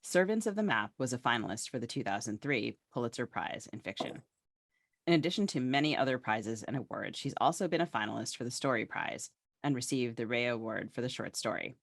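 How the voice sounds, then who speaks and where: Creaky-voiced woman co-hosting a podcast. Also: very deep voice, animated, a little fast, no echo, clean studio sound. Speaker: podcast co-host. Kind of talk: conversational podcast hosting with scripted introductions.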